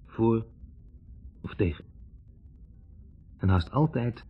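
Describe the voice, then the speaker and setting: An actor playing a wavering, introspective young medical student, hesitantly searching for words in a 1960s radio drama. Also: male voice, lowish voice, animated, slow, husky, soft background music, exaggerated voice. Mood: hesitant, reflective. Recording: dull, band-limited 1960s radio recording.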